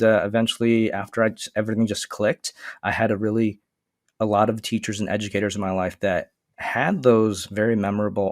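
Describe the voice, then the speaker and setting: A man whose first language is Vietnamese, a guest on a casual podcast interview, explaining his work in an earnest, steady way. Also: on the low side, dry recording, clean studio sound. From Michigan, USA. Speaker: guest, STEM consultant.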